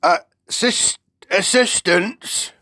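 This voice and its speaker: A storybook reader voicing a friendly, childlike giant who speaks in effusive, halting, ungrammatical bursts. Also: medium pitch, bright, forceful tone, no echo, studio quality, voice pushed up, heavily acted. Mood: halting, earnest. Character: friendly giant, eager, reverent, childlike, muddling his words.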